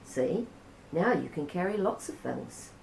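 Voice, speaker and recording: A man's voice: a little high, lively intonation, measured pace, gravelly, darkish tone, roomy sound, a little hiss or hum, low-quality recording. A woman voicing a practical grown son in a storybook reading, giving matter-of-fact advice. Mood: helpful.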